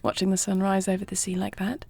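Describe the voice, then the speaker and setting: A woman playing a compassionate, self-doubting young dragon queen in a fantasy audio roleplay, speaking formally, earnestly and with soft intimacy. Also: medium pitch, monotone, fast, creaky, slightly rough. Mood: curious, warm.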